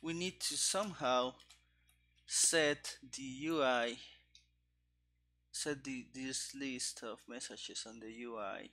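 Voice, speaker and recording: Guy streaming some game coding, thinks out loud, slow and halting, kinda bright voice, headset mic. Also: mid-range voice. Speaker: programmer live-streaming game development. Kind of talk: casual live coding commentary. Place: small room indoors at a desk. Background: keyboard typing and clicking.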